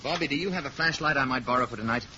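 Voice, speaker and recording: An actor playing a calm, courteous alien man passing as a mild lodger in a 1950s radio play. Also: mid-range voice, natural voice. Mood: pleasant, guarded. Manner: polite, measured wording, gently assured. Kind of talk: 1950s radio drama acting. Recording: dull, noisy old radio recording.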